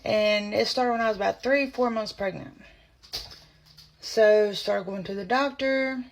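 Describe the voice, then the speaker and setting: A woman with a strained, choppy voice, telling her personal story to camera in short, blunt bursts. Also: mid-range voice. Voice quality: strained, choppy voice from spasmodic dysphonia. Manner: blunt, indignant, talks in short halting bursts. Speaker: vlogger.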